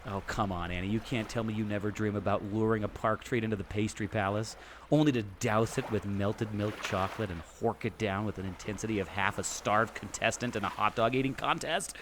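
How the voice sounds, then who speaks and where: A man playing an excitable, silly, food-obsessed adventurer in a theatrical radio comedy. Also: fairly low pitch, fairly quick, natural voice. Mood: flustered. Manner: exaggerated, theatrical. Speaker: podcast host acting in audio drama. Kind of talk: hammy amateur radio comedy acting. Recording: noticeable ambient background noise.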